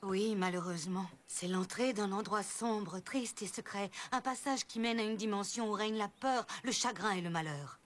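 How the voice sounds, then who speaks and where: A woman's voice: medium pitch, moderate pace, hardly any pauses, natural voice. A woman playing a grave, commanding fairy headmistress in a cartoon. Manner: solemn, commanding. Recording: music behind.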